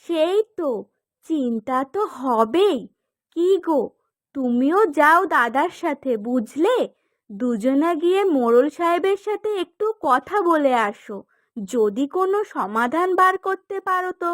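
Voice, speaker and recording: Slow, high-voiced worried village housewife played by a woman. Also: expressive, fairly bright tone. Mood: concerned. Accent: Bengali. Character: concerned village housewife in a Bengali folk tale. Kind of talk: dramatised folk-tale dialogue.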